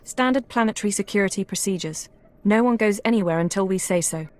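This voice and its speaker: Actress as a fast-talking female intelligence analyst. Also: mid-range voice, darkish tone, close to an everyday voice. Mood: sober. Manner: clipped, precise, earnest. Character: crisp, efficient young female intelligence analyst. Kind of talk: video game voice acting.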